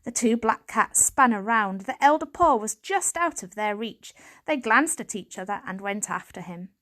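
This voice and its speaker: Female narrator for children's fiction, slightly high voice, dramatic scripted storytelling. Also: lively intonation, moderate pace, a bit bright. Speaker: audiobook reader.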